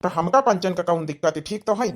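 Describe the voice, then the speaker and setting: Woman as low, blunt Awadhi village father. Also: a little fast, natural voice. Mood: inquiring. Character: ageing village father, questioning head of household. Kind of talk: amateur cartoon story dialogue.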